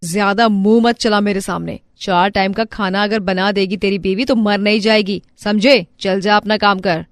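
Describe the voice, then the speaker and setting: A woman's voice: medium pitch, fairly even intonation, normal speed, hardly any pauses, no echo, clean studio sound, close to an everyday voice. A woman playing a proud, bossy middle-aged mother-in-law in a family story cartoon. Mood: indignant, scolding. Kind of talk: Hindi family moral-story cartoon acting.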